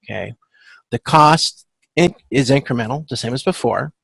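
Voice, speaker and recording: A male instructor with a creaky, gravelly voice walks through material informally. He speaks at a middling pitch, stops and corrects himself, and often checks for agreement. The sound is dry and close, with very little room in it. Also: a bit bright, no echo. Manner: halting, self-correcting, often checking with "okay?". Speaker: instructor teaching supply chain management. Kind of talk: informal lecture walking through spreadsheet calculations. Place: online video conference with screen share.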